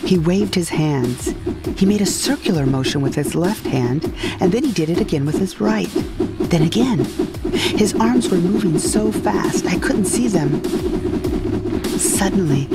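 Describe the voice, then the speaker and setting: A woman's voice: on the low side, unhurried. A woman narrating a first-person comic science fiction audio drama. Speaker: narrator and lead character. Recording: music behind the voice.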